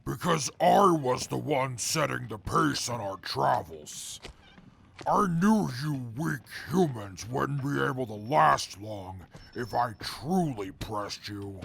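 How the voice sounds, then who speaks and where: A gravelly, pompous tyrant. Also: male voice, mid-range voice, expressive, slow pace, fairly bright tone, a little hiss or hum, full character voice. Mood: scornful. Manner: pompous, indignant, disdainful. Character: arrogant, scheming would-be ruler scornful of weak humans. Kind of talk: post-apocalyptic audio drama acting.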